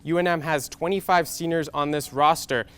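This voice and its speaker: A young American man in his twenties anchoring a scripted TV sportscast with game highlights. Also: fairly even intonation, moderate pace, a bit bright, clean tone. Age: 20s.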